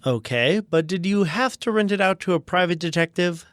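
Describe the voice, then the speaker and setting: A man's voice: high voice, expressive, normal speed, fairly bright tone, no room sound. A man co-hosting a radio show in scripted comedic banter, nitpicking and delivering dry quips.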